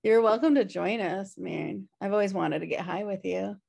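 A woman's voice: medium pitch, moderate pace, gravelly, darkish tone, slightly rough. A woman playing a chill, messy, sarcastic twin sister in a comedy table read on a video call. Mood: teasing. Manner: wry, sarcastic. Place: home room, video call.

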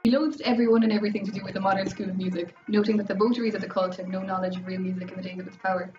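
A woman's voice: medium pitch, fairly even intonation, rapid-fire, dull audio. A young woman with an Irish accent races through a scripted educational narration. She sounds distant in a roomy space, with music behind her. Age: young adult. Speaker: student presenter. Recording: band-limited, roomy, distant mic, faint music.

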